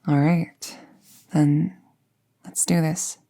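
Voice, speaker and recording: A woman plays an apprentice witch in an intimate audio drama. Her voice is mellow and soft, with a slow, careful delivery and very little rise or fall. She comes across as gentle and reassuring. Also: sits low, little pitch movement, vocal fry, full character voice. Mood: nervous, careful.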